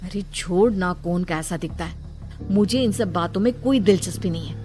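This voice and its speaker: A woman's voice: lowish voice, moderate pace, warm tone, soft background music, natural voice. A woman voicing a bossy, irritable young office worker in a cartoon horror story, scolding and dismissive. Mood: irritated scolding. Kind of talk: animated Hindi horror story dubbing.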